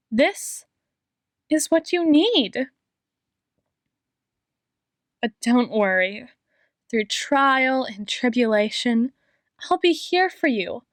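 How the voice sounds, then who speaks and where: A woman performs an obsessive lady doctor in an intimate ASMR audio roleplay. Her voice is high and unhurried, captured close and dry. She is theatrical and coaxing, sweetly possessive with a menacing devotion, leaving lingering pauses. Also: a bit bright, no echo, exaggerated voice.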